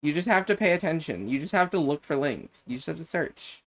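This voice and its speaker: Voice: mid-range voice, animated, brisk. A streamer chatting casually during a game stream, playful and teasing, with faint music behind. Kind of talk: casual gaming stream chat.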